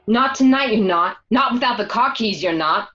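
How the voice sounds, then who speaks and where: A woman's voice: on the high side, animated, normal speed, fairly bright tone, muffled recording, faint music behind. A woman playing a suspicious, wounded gangster's wife in a radio drama, emphatic and confrontational. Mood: accusing. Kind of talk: amateur radio drama acting.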